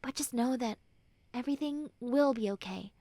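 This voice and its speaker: A woman voicing a prickly but caring tsundere young woman in a roleplay audio, with halting, sighing delivery. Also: fairly high pitch, mellow, no room sound. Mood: tender, reassuring. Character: prickly tsundere young woman who turns tender and comforting. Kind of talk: roleplay audio acting addressed to the listener.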